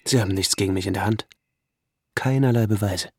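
A man's voice: on the low side, a little fast, husky, no room sound, studio quality. A man acting in a radio play as a cold, dismissive husband with a menacing edge. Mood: cold, curt.

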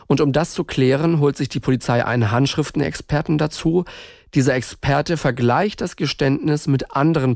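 A man hosting a true-crime podcast, narrating in a clear scripted way. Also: mid-range voice, no echo, clean studio sound. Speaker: podcast host.

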